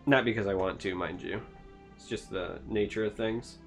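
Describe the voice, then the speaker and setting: A man's voice: fairly low pitch, normal speed, soft background music. Dry-joking man from Michigan. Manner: dry, joking, asks questions. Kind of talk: casual chat.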